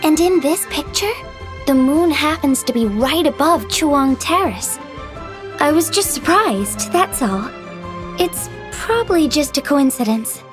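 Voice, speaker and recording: A gentle young woman in a video game, high in pitch and unhurried. She sounds hesitant and soft-spoken, with music clearly audible behind her. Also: lively intonation. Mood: startled, musing.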